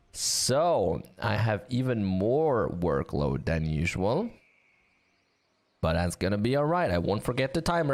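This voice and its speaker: A man hosting a live game stream with a sore throat, chatting in an upbeat way with many fillers. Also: on the low side.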